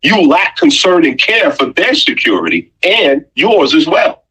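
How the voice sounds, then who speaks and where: A man's voice: fairly high pitch, wildly swooping pitch, unhurried, pushed and bright, breathy edge, rough recording. A man giving an opinionated, conversational monologue, blunt and emphatic, with rhetorical questions and pointed repetition. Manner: blunt, profane slang.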